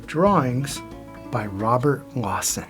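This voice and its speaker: A man's voice: medium pitch, expressive, slow, a bit bright, faint music behind. An older man reading a children's picture book aloud, with dramatic emphasis on key words. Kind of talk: scripted storybook read-aloud.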